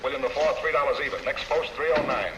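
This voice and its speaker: An actor playing a brisk radio announcer reading horse-race results in a 1950s film. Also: male voice, mid-range voice, close to an everyday voice, rough recording. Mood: businesslike.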